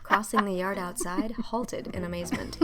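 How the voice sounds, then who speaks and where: A woman's voice: medium pitch, fairly even intonation, normal speed, a loud crowd. A woman reading as the narrator of an audiobook, in scripted storytelling style. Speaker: narrator and producer. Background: music.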